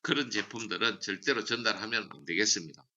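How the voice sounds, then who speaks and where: A man's voice: fairly low pitch, quick pace, bright, forceful tone, dull audio, a little hiss or hum. A man giving a motivational sales training lecture, emphatic and repeating key slogans. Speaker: seminar speaker for a sales business.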